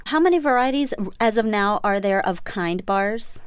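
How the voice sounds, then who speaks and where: A woman conducting a conversational interview, phrasing her questions in a thoughtful, measured way. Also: mid-range voice, lively intonation, clean tone.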